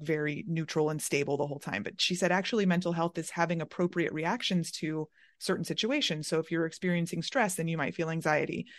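A woman's voice: deep voice, no echo, clean studio sound. A woman hosting an interview in a casual, thoughtful conversational style. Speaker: interviewer, podcast host.

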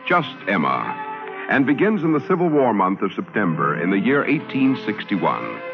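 Low, sweeping old radio male announcer. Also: huge pitch swings, moderate pace. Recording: dull vintage radio recording over music.

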